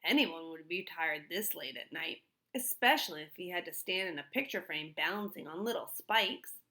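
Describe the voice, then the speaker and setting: A woman reading a children's book aloud in an expressive storytelling style. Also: on the low side. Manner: pauses to put questions to young listeners. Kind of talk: expressive read-aloud narration.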